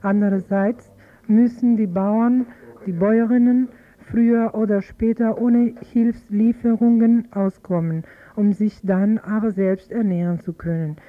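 A woman reading a political news commentary in a steady, earnest way. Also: medium pitch. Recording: thin, phone-like archive audio.

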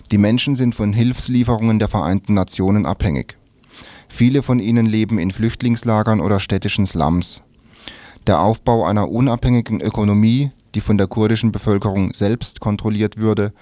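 Low-voiced man reading radio news. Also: dark tone, no echo.

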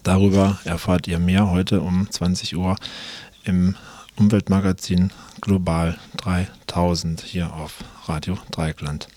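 A man's voice: low-pitched, little pitch movement, takes it slowly, a little mellow. A man reading out a scripted programme announcement as a radio presenter.

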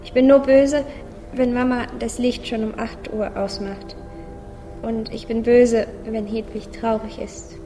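Woman playing a pensive little boy, slow, even. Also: on the high side. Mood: earnest, sullen. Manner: simple childlike musing, repeating phrases. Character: naive, pensive, sad little boy, thinking aloud. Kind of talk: radio play inner monologue. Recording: long reverb, music clearly behind.

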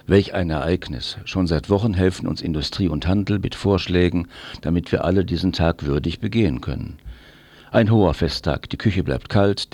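A man delivers a scripted commentary for morning radio. His voice is very low, and his manner is dry and ironic. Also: darkish tone, no echo. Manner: dryly ironic. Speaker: radio presenter.

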